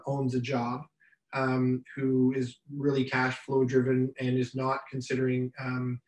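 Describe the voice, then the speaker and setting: A man, giving a business webinar with many fillers and restarts, his voice slightly raspy from much talking. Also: medium pitch. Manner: halting, many fillers and repeated starts. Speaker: business mentor presenting a webinar. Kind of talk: unscripted slide presentation. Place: home room, indoors. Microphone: webcam on a video call. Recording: roomy webcam sound from a distance.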